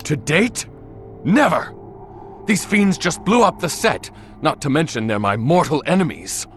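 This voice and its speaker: A man's voice: fairly high pitch, very animated, unhurried, fairly bright tone. A man voicing a proud, melodramatic vampire in a video game, with a haughty, theatrical delivery. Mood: outraged. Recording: long hall reverb, music behind.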